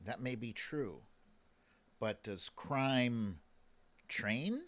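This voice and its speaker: A man's voice: medium pitch, expressive, drawn-out words, heavy background noise. A man hosting a live stream in casual, playful commentary, chatting to viewers in slangy phrases that he often repeats.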